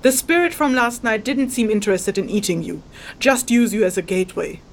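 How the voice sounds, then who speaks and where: An actress playing a blunt, aloof spirit scholar in a fantasy audio drama, with a matter-of-fact, dismissive, lecturing manner. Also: female voice, on the high side, fairly quick, natural voice. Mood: dry, dismissive.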